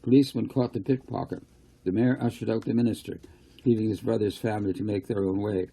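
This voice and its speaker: Male narrator for a novel: gravelly, soft-edged and mellow, storybook delivery. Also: fairly low pitch, fairly even intonation, quick pace, low-quality recording. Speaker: author reading his own novel.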